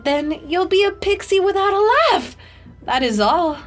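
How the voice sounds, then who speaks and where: Grave old pixie musician, acted by a woman: slow, solemn, rising and falling. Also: very high-pitched, expressive, soft background music, pitched above the performer's own voice. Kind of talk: storybook reading.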